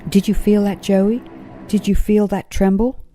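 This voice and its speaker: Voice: mid-range voice, level tone, a little slow, warm tone, slight background noise, voice pushed up, natural voice. A storybook narrator voicing an easygoing, curious young male kangaroo in a casual, friendly manner. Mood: startled.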